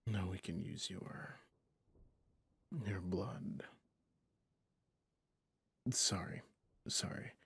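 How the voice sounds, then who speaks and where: Man playing a husky, brooding old vampire hunter. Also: sits low, steady tone, a bit bright, dry recording, full character voice. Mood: apologetic, persuasive. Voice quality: husky, gravelly, breathy. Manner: slow, halting, solemn, half-whispered. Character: brooding centuries-old male vampire hunter, guilt-ridden, stern. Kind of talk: ASMR roleplay monologue.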